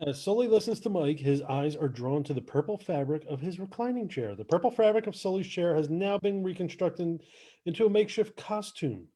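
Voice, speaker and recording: Male narrator for a scripted podcast read, slightly high-pitched, delivering stage directions. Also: lively intonation, brisk, warm tone.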